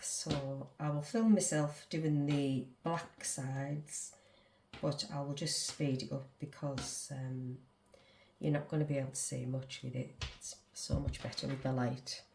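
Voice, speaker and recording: Slow, low-voiced congested woman. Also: fairly even intonation, creaky, darkish tone, a little hiss or hum, rough recording. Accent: Northern English. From England. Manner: chatty, talks to herself while working. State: congested with a cold, sniffly. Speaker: crochet tutorial host. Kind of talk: casual crochet demonstration.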